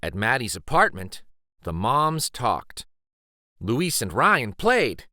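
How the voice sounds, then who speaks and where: A man reading a children's picture book aloud in an expressive, deliberate, emphatic storytime style. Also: medium pitch, dry recording, studio quality. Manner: deliberate, animated, emphatic phrasing.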